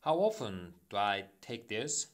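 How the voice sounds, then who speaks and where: A man's voice: on the low side, sing-song. A man with a Korean accent, a native Korean speaker, carefully reading English phrasebook sentences aloud over a phone call during a practice session. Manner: careful, deliberate read-aloud, slightly halting.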